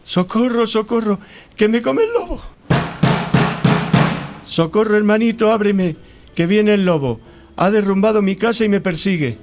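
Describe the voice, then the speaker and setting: An actor playing a lazy, fearful young pig with an excitable, fretful manner in a children's radio play. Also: male voice, sits high, moderate pace, long pauses, over loud music. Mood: panicked. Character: lazy, fearful young male pig, carefree cartoonish brother.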